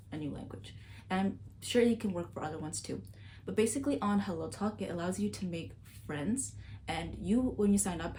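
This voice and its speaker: A woman from Sudan talking casually to camera as a vlogger, chatty and relaxed with lots of little fillers. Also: lowish voice, a little hiss or hum. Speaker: language-learning vlogger.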